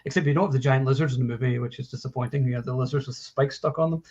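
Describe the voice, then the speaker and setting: A man's voice: mid-range voice, fairly even intonation, racing, a little mellow, breathy edge. Man in his forties, muffled stream audio. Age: 40s. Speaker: host of a live film discussion show. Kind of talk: casual film chat.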